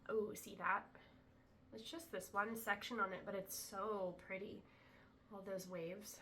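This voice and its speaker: A woman's voice: mid-range voice, measured pace, recorded from a distance, a little hiss or hum. A woman demonstrating handmade flutes in a casual, chatty way, sounding a little congested after a cold. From US Pacific Northwest. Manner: chatty, relaxed, many ums. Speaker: flute maker showing her products. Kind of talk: casual product demo. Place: indoor room, talking to camera. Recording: echoey room, voice from off-mic.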